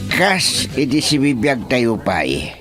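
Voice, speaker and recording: A man playing an excitable village chairman in an Ilocano radio comedy, exclaiming often in an Ilocano accent. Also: mid-range voice, slow, loud music behind the voice. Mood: chatty.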